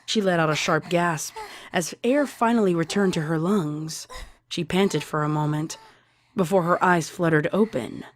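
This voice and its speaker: Low-voiced woman reading a story aloud. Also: moderate pace. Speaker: fan fiction reader. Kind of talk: scripted story narration. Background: music.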